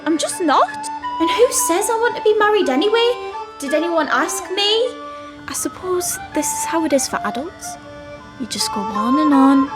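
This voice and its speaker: A woman's voice: high-pitched, low-quality recording. A woman playing a headstrong teenage village girl in an audio drama. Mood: resigned. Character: headstrong teenage village girl resisting marriage and grown-up life. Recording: loud music behind the voice.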